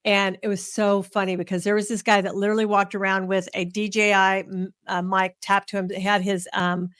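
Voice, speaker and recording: A woman's voice: medium pitch, level tone, a little fast, a bit bright, clean tone, dry recording, clean studio sound. A woman hosting an interview, chatting casually and telling stories in a chatty, friendly way. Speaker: interview host.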